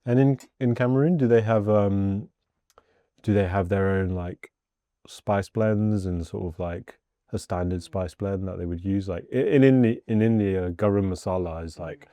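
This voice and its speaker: A man's voice: low voice, moderate pace, no room sound. A male podcast host chatting easily with his guest, filling his sentences with lots of "like". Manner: relaxed, many filler likes. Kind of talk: casual chat.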